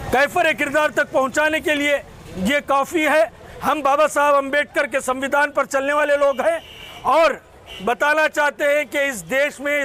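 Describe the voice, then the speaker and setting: A man with a very high-pitched, sharp voice. He makes an impassioned public statement in emphatic, declamatory phrases, often repeating himself for effect. Faint street noise sits behind him. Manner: emphatic, declamatory, rhetorical repetition in short phrases. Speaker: advocate and community spokesman.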